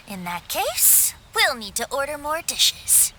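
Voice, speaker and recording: A woman's voice: high-pitched, huge pitch swings, very slow, background noise, full character voice. A woman voicing a boyish young male bard in a video game, breezy, vain and theatrical, with lilting, flowery phrasing. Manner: lilting, flowery, self-satisfied. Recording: music behind the voice.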